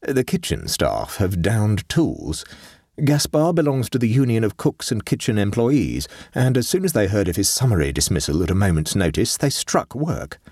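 A man voicing a grave, formal butler in a story reading, solemn and deliberate. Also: fairly low pitch, big pitch swings, darkish tone, dry recording, clean studio sound.

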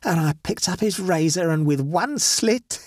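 A man's voice: sits high, animated, measured pace, no room sound, studio quality, pitched above the performer's own voice. A man voicing a vengeful dead woman who gloats with suppressed laughter over her husband's murder, in a read-aloud story. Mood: gloating.

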